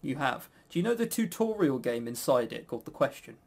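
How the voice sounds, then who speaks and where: A man's voice: medium pitch, big pitch swings, a little fast, vocal fry, breathy edge. A man hosting a quiz in a casual, jokey, teasing way. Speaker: quiz host.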